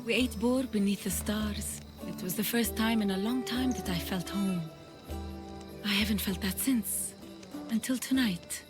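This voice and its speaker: Woman as wistful young wanderer, guarded, medium pitch, video game drama, music behind. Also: unhurried, lightly acted. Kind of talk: video game cutscene acting.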